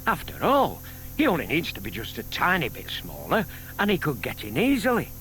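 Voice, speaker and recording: An actor playing a shy, lovestruck older British gentleman in an audio drama, polite, old-fashioned and eager. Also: a little high, wildly swooping pitch, a little fast, slight background noise, rough recording.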